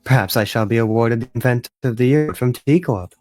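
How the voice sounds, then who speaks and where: Performer acting an airy, proud young gentlewoman. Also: medium pitch, a little fast, lightly acted. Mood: lofty, dismissive. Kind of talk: dramatic amateur game dub.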